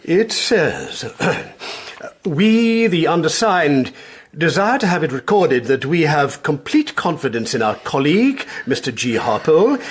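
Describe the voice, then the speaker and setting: A conciliatory English chairman of governors in a radio play. He is husky and lively in pitch, with courteous, rambling official phrasing. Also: exaggerated voice. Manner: hesitant, courteous, long-winded officialese. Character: genial, conciliatory male chairman of school governors. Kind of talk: radio drama acting.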